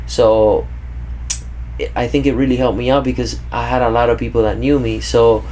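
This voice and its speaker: A man in his thirties who grew up in Kentucky, hosting a casual podcast interview and talking in a relaxed way, often saying "bro", into a studio boom mic. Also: moderate pace, a little hiss or hum, poor audio. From grew up in Kentucky, born in Cuba. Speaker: podcast host and tattoo artist. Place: indoor studio with leather couch. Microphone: studio mic on boom arm.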